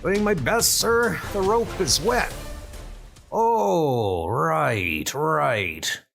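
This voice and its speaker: Casting: male performer as cool young wartime spy trainee, British, respectful, unhurried, for comic dub. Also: on the high side, lively intonation, gravelly, a bit bright, soft background music, pitched above the performer's own voice, heavily acted. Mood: strained. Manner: polite, respectful.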